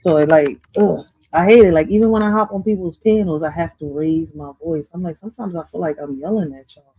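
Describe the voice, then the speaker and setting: Woman, soft voice, talks fast when raised, dry remote mic. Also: on the low side, slightly rough, no room sound, poor audio. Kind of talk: casual chat. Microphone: remote call mic.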